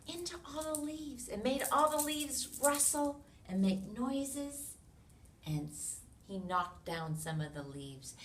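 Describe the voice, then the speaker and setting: An American woman reads a picture book aloud to young children in an expressive way, with sound effects, questions and hushed asides to her listeners. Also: on the low side. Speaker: children's read-along reader. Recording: roomy, distant mic with noise.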